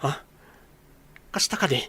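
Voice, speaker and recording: A man's voice: measured pace, pushed and bright. A man with an Ilocano accent playing an earnest, lovestruck young man and devoted son in a comedy radio drama. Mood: teasing, curious.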